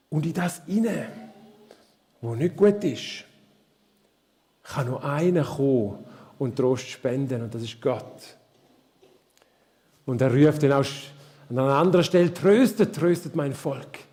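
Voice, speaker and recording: A man with a Swiss German accent preaching a live sermon, asking the congregation questions in a slow, searching way. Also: on the high side, warm tone. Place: church hall. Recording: reverberant church hall.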